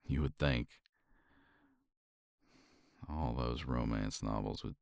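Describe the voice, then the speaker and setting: A man speaking softly and reflectively in a romantic ASMR roleplay, sighing often and sometimes dropping to a whisper, close to the mic. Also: rumbling low, drawn-out words, vocal fry, no echo. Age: older. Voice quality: soft, intimate, drifts into whispers.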